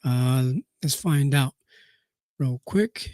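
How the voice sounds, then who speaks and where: Hoarse man with a cold, halting. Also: mid-range voice, mellow, dry recording, studio quality. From Nebraska. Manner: halting, full of "uh" fillers and restarts. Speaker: boxing news live-stream host. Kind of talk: live-stream commentary, reading aloud.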